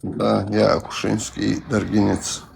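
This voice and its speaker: This is a man with a Caucasian accent calling in to a live voice chat. He rambles through loose, hesitant speech full of fillers and self-corrections. His mid-pitched voice has a gravelly edge. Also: flat delivery, unhurried, a little mellow, slight background noise. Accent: Caucasian-accented Russian. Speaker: caller on a live stream. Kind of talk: casual chat.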